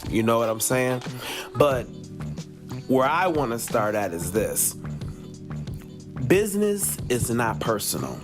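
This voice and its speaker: A man's voice: mid-range voice, very animated, slow pace, pauses often. A man hosting a podcast, giving conversational advice in an emphatic way and repeating phrases for effect. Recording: music playing under the voice. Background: music.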